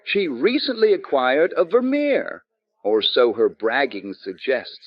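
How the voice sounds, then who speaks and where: An actor playing a boozy ex-doctor barfly in a radio detective drama, florid, rambling and wryly theatrical. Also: male voice, medium pitch, big pitch swings, measured pace, no echo, dull audio. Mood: grandiloquent, musing. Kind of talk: old-time radio comic-relief acting.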